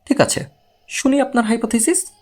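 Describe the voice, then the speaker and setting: A man's voice: very high voice, normal speed, faint music behind, pitched above the performer's own voice. A man voicing a polite, puzzled male client in an audiobook story, speaking in short replies and questions. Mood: polite, attentive. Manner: brief, polite, surprised questioning.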